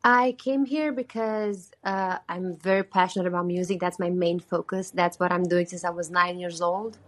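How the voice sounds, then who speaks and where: A woman's voice: on the low side, steady tone, moderate pace. A young woman from Romania, speaking with a Romanian accent, who chats earnestly and uses "like" a lot as the guest on a call-in interview. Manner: chatty and earnest, with frequent "like" fillers. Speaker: singer-songwriter guest.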